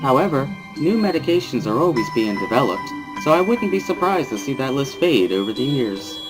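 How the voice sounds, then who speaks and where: A man voicing a calm, reassuring hospital doctor in a measured, formal, placating manner for a dramatic reading. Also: medium pitch, music in the background, pitched above the performer's own voice, close to an everyday voice, rough recording.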